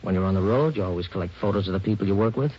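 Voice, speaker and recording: An actor playing a folksy, chatty theatrical booking agent in a 1940s radio drama, with slangy, offhand talk. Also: male voice, low-pitched, racing, background noise, close to an everyday voice. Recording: muffled 1940s radio recording, faint music.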